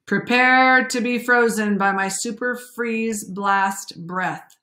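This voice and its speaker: A woman's voice: on the high side, steady tone, takes it slowly, bright, forceful tone, pitched above the performer's own voice. Woman voicing an eager, boastful little superhero boy. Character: excited young boy imagining he is a superhero.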